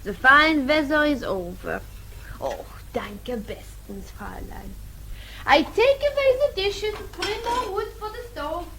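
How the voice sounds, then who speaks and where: An actress playing a cheerful, deferential Austrian housekeeper in a radio drama, obliging, speaking broken English with an Austrian German accent. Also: female voice, high-pitched, animated, takes it slowly, pushed and bright, full character voice, rough recording. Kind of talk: British radio drama acting. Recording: clattering dishes, background noise.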